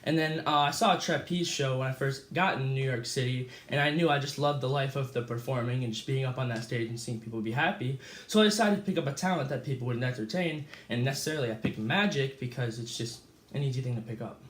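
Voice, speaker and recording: A man's voice: medium pitch, close to an everyday voice. A young man playing a breezy famous escape artist, echoey room. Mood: easygoing. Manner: casual, halting with fillers and slips. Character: cheerful famous male escape artist guest.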